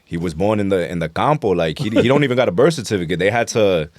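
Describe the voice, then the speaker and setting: A man with a very low voice and a New York accent, recorded close and dry. He talks as an interview guest in casual, blunt street slang. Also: animated, fast talker, dry recording. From New York City. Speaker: interview guest, rap artist.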